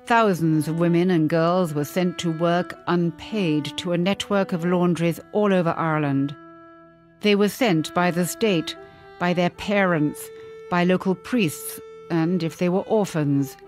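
A woman reporter giving steady, measured documentary narration. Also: on the low side, soft background music.

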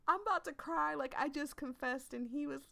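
A woman's voice: high-pitched, a little fast, vocal fry, a bit bright. A woman giving casual, chatty let's play commentary in a candid, emotional and informal way. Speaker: host of a visual novel let's play.

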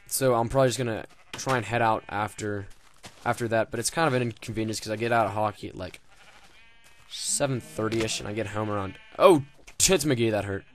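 A man's voice: lowish voice, a little fast, soft background music. A young man casually commenting on his game, rambling and joking with lots of swearing and fillers. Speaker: gaming video host.

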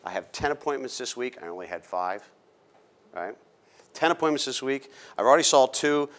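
A man's voice: medium pitch, expressive, moderate pace, a bit bright. A man in his fifties with an American accent giving an informal business lecture in a direct, emphatic coaching manner, on a lapel mic in a small room. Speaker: startup founder giving a talk. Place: small indoor meetup room.

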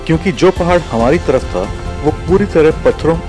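A man from India narrating a travel vlog in a measured, reflective storytelling voice-over. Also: a little high, expressive. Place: voice-over, not recorded on location. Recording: music under the voice.

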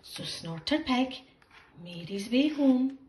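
Expressive woman reading a children's story slowly. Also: sits high. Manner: expressive, slow and deliberate for young listeners.

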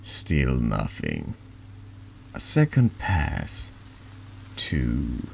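A man with a deep voice reading horror narration slowly and haltingly in an ASMR style, over background noise. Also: huge pitch swings, vocal fry, a little mellow. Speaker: vtuber reading a text game aloud.

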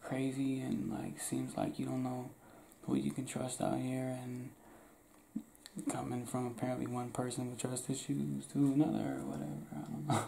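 Soft-whispering young man, gravelly. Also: mid-range voice, fairly even intonation, moderate pace, slight background noise, poor audio. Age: young adult.

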